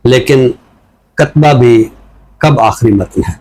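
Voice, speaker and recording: A man with a Pakistani accent speaking in a measured, deliberate way, phrase by phrase, as in a literary talk or recital. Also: mid-range voice, a little mellow, rough recording. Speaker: literary show presenter reciting a poem and introducing a book.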